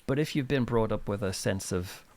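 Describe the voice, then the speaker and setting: Low-voiced British man, chatty storyteller. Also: normal speed, a crowd in the background. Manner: chatty, informal, animated storytelling. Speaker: interview guest, author and reverend. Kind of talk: casual anecdotal interview chat.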